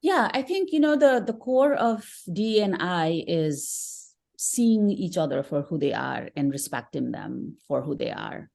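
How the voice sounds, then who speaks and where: Low-voiced Nepali-born woman guest. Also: very animated, measured pace, vocal fry, few pauses, a little mellow. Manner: earnest, thoughtful, with frequent restarts. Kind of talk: conversational interview.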